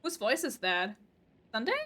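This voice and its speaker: A woman livestreaming a video game, giving excited, gushing commentary as she reacts aloud. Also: fairly high pitch, moderate pace. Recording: loud game music behind. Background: game music and dialogue.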